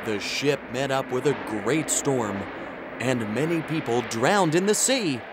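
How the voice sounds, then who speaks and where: An actor playing an earnest, polite traveler who tells his adventure in an expressive children's story style. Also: mid-range voice, measured pace, very noisy, close to an everyday voice. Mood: earnest storytelling. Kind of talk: scripted children's story narration in character. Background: music.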